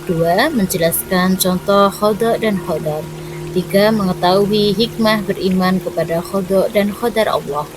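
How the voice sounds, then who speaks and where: A woman teaching a recorded lesson for schoolchildren, explaining step by step in a clear, measured way. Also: medium pitch. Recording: loud background music.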